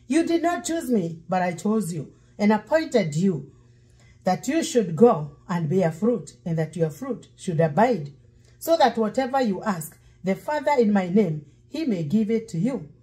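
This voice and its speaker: Husky-voiced woman pastor preaching. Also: mid-range voice, sing-song, a little slow, dark tone, low-quality recording. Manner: preachy, breaks into song. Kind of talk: cooking demo sermon. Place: home kitchen. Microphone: fixed camera across counter.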